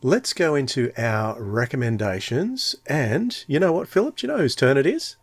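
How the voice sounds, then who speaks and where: Male podcast co-host, mid-pitched, casual chat, very dry studio-like sound. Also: sing-song, moderate pace, husky, dry recording.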